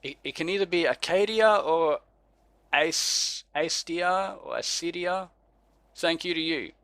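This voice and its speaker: A man's voice: fairly high pitch, a little slow, fairly bright tone, clean tone, no echo. A man with an Australian accent, co-hosting a casual podcast in a laid-back way, chuckling and stumbling over names as he reads them out. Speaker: podcast co-host. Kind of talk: casual chat.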